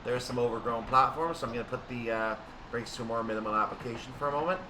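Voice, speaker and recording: Guy doing chill step by step sim game commentary, bit far from the mic, loud droning noise behind him. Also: mid-range voice, fast. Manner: methodical, narrates each action step by step. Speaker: gaming video host. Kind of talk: casual live train simulator commentary. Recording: distant mic with loud steady background noise.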